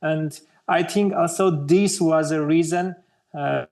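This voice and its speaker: A man in his thirties from Armenia, speaking English as a second language with an Armenian accent, slowly searching for words with many hesitations as an interview guest. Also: on the high side, darkish tone. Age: 30s. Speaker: guest artist. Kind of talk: interview conversation.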